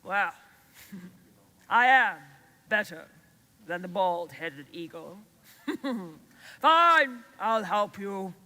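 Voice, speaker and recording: A woman voicing a proud, haughty fish eagle who speaks loudly and curtly. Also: medium pitch, expressive, very slow, long pauses, long reverb tail, full character voice. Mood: smug.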